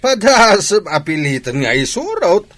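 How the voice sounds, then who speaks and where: A male actor as a stubborn village farmer and father, gruff and scolding. His fairly high voice swings widely in pitch, in the manner of a comedy radio play. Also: big pitch swings, normal speed, a bit bright, full character voice. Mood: scornful, stubborn.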